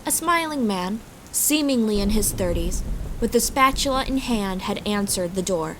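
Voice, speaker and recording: A woman's voice: mid-range voice, moderate pace, slight background noise, poor audio. A woman reading scripted fantasy story narration as the narrator. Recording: steady rain and storm ambience behind.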